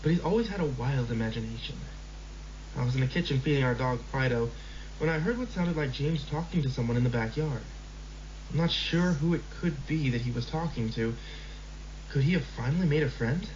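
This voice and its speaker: A man's voice: mid-range voice, darkish tone, slight background noise. Man narrating a horror story. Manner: measured, slow in tense passages. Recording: slightly dull lo-fi recording with music.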